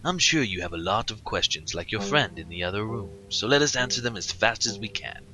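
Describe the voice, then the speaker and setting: A man voicing a calm, kindly male Christmas elf who speaks in a formal, soothing way in a story reading. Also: on the low side, brisk, natural voice. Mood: gentle, formal.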